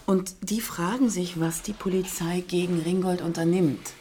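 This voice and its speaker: A persistent journalist acted by a woman in a radio crime drama. She has a slightly low, mellow voice and a needling, self-assured manner, with faint ambient noise behind her. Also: steady tone, moderate pace, lightly acted. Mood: needling, confident. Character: persistent, sly female online journalist. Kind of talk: naturalistic German radio crime drama acting.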